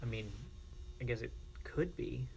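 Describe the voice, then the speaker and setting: A man with an American accent talks casually to his livestream viewers. His voice is middling in pitch and quite gravelly, with lots of vocal fry. A faint electrical hum sits under the recording. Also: measured pace, a little mellow, rough recording. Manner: informal, chatty, reads and reacts to viewer comments. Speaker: live streamer.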